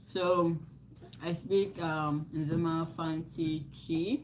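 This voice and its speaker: A woman's voice: sits low, little pitch movement, drawn-out words, a little hiss or hum. A young woman from Ghana with a Ghanaian accent, chatting casually on a home livestream and laughing often. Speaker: livestream co-host. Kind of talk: casual chat.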